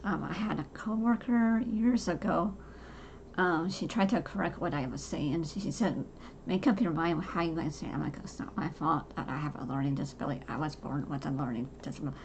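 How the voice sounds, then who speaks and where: A soft-voiced woman in her forties, talking casually and haltingly about her own life, with many fillers and restarts. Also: sits low, dull audio, a little hiss or hum. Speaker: podcast host. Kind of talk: casual personal talk to camera.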